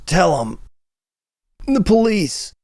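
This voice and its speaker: A man voicing a dying gunshot victim in an audiobook, gasping out his last words in halting, choked phrases. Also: sits high, big pitch swings, a little mellow, no room sound, clean studio sound, pitched above the performer's own voice, full character voice. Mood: desperate, dying. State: choking, coughing.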